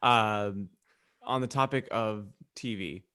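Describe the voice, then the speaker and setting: A man's voice: on the low side, takes it slowly, pushed and bright, smooth, no echo. Man chatting casually, dry recording. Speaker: podcast co-host. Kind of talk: casual joking chat.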